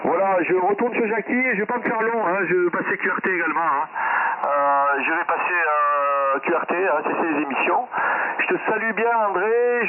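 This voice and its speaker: A man talking casually as an amateur radio operator over a radio transmission, often repeating phrases and tagging them with a questioning filler. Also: high voice. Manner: frequent "hein" tags, repeats phrases. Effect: ham radio transmission. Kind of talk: casual ham radio exchange. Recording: noisy, narrow shortwave radio link.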